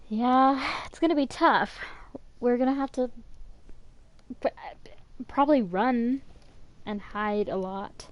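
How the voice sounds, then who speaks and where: Slow-paced woman thinking aloud while gaming. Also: on the high side, no room sound, muffled recording. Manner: hesitant, thinking aloud, trailing off. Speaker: game streamer. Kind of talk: casual gameplay commentary. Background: faint game music.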